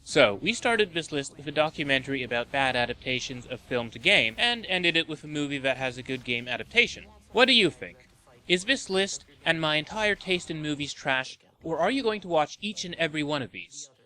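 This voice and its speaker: A man's voice: on the high side, expressive, fairly quick, sharp tone, low-quality recording. A man hosting a video game and movie show, reading scripted list narration with a wry, tongue-in-cheek manner.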